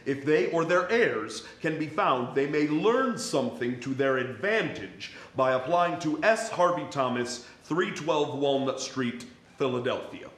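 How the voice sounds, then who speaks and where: A man's voice: a little high, animated, measured pace, fairly bright tone. A man giving a staged dramatic reading of a formal written notice. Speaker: performer reading historical newspaper ads. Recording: echoey hall.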